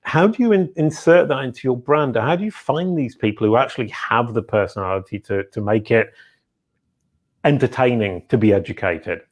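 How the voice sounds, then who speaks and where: Excitable middle-aged man hosting an interview. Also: medium pitch, normal speed. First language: English. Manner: excitable, interrupts, stumbles and repeats words. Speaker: podcast host. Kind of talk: casual interview chat.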